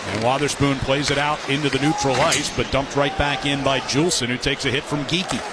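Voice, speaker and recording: A man's voice: mid-range voice, steady tone, brisk. A man doing live radio hockey play-by-play, describing the action in clipped phrases. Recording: noisy live arena broadcast. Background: loud arena noise.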